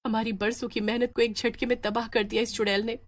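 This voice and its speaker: A woman's voice: on the high side, flat delivery, fast talker, lightly acted. A woman voicing a bold, protective village mother in a story, speaking earnestly and insistently. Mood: alarmed.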